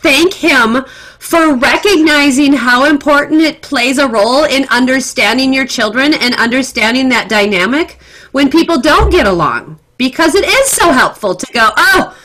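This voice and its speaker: Enthusiastic middle-aged woman host, bright tense tone, a bit high. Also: animated, moderate pace, low-quality recording. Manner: effusive, enthusiastic. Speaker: astrologer podcast host. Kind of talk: casual conversation.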